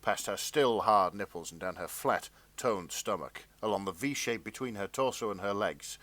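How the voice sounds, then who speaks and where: A man's voice: fairly low pitch, normal speed, a bit bright. A man hosting a podcast, reading fan fiction aloud with mock-serious narration.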